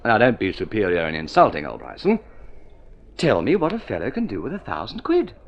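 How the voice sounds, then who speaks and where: An actor playing a spoiled, idle young gentleman in an old radio drama, breezy and exclamatory, full of upper-class slang. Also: fairly low pitch, wildly swooping pitch, a little fast, full character voice. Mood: flippant, chatty. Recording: dull vintage radio recording.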